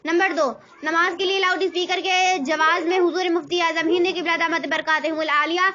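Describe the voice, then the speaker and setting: A woman's voice: extremely high pitch, steady tone, normal speed, bright, forceful tone. A woman reading a religious text aloud in a formal manner, as in a recitation of written rulings. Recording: dull lo-fi recording.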